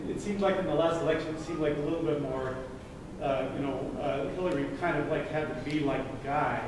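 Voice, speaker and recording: An older man in the audience, speaking earnestly and haltingly with many restarts and repeated words. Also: on the high side. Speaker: audience member. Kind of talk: audience question, rambling. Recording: distant, echoey room with noise.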